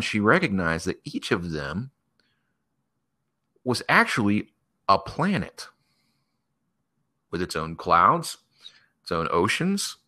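A man with a low voice and a bright, forceful edge makes up a story as a podcast guest. He lays it out in measured phrases, pausing as he builds it piece by piece. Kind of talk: improvised storytelling.

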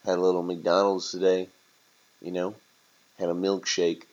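A man's voice: deep voice, completely flat delivery, normal speed, darkish tone, lo-fi sound, lightly acted. A man voicing a jaded, laid-back street rat in a story, blunt but friendly and full of casual slang. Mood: shrugging, friendly.

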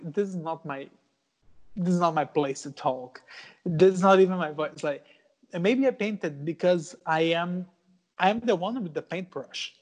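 A young Brazilian man, a Portuguese speaker, talking earnestly in a casual interview, with many fillers and restarts. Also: a little high. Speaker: guest artist.

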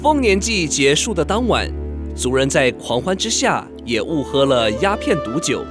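A high-voiced man narrates radio drama in Taiwanese Mandarin. His delivery is theatrical and very animated, shaped for storytelling. Music plays clearly behind him. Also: moderate pace.

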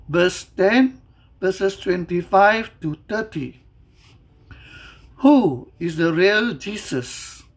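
A person reading a scripted Bible devotional and prayer in a measured, deliberate, reverent manner. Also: medium pitch.

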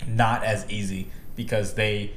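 A laid-back man in his late twenties co-hosts a casual chat, swearing and filling his talk with "like". His voice sits fairly low. It rings in an echoey room over a faint hiss. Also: little pitch movement, a little slow, a bit bright. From United States. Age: late 20s. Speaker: podcast co-host.

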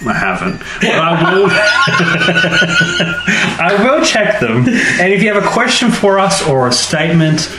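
A man's voice: high voice, big pitch swings, a little slow, echoey room, a loud crowd, poor audio. A man co-hosting a podcast with casual, joking banter and playful interjections. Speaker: podcast co-host.

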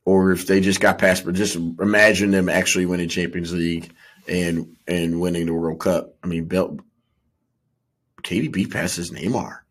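A man's voice: deep voice, flat delivery, normal speed. A man co-hosting a sports podcast, giving emphatic, slangy opinions in casual football chat.

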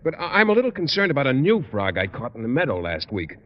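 A man's voice: medium pitch, wildly swooping pitch, fast talker. An American man acting as an earnest, shy biology teacher in an old radio comedy, speaking hesitantly. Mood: earnest concern. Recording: muffled old radio recording, faint noise.

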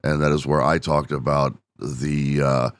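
A man's voice: extremely low pitch, little pitch movement, unhurried, no echo. A man hosting a casual solo podcast, rambling and self-deprecating with frequent laughs, and losing his voice from overuse. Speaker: podcast host.

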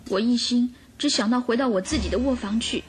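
A woman's voice: a little high, fairly quick, natural voice. A woman playing an earnest, distressed young governess under suspicion in a radio drama. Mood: anxious recounting.

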